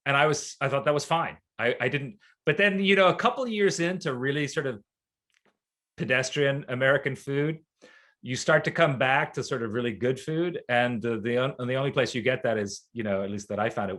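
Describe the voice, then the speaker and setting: A man's voice: medium pitch, a bit bright. Rambling man with many fillers. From grew up in Malaysia and Libya. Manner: rambling, self-correcting, frequent fillers. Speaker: alumnus guest speaker. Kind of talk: casual talk to students.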